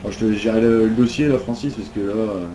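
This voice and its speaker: A man's voice: on the low side, fairly even intonation, fast talker, crowd noise. A man improvising as a police officer in an online role-play game, explaining things in a hesitant, rambling way. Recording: dull mic with background noise.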